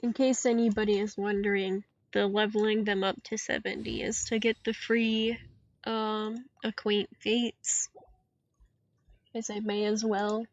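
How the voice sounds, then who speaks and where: Woman, game streamer, slow halting delivery, slightly high voice, casual and playful, dull lo-fi mic with clicks. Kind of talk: casual solo gameplay stream commentary.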